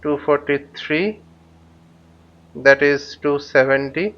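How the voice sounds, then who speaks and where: A man hosting a flight simulator tutorial, thinking aloud in short, halting phrases as he casually walks through what he is doing. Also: on the high side. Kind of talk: casual spoken walkthrough while flying a simulator. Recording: dull, lo-fi microphone sound.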